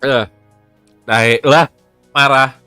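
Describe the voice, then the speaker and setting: A man's voice: medium pitch, fairly even intonation, slow, pushed and bright, clean tone, no room sound, faint music behind. A young man with an Indonesian accent, chatting casually on a live stream, laughing often, swearing and restarting words. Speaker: VTuber streamer.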